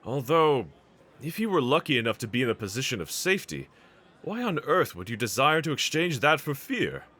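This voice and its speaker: A man's voice: mid-range voice, big pitch swings, brisk, pushed and bright. A man playing a bold, earnest, reassuring demon slayer swordsman in an anime audio roleplay, speaking with formal, courteous diction. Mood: curious, puzzled.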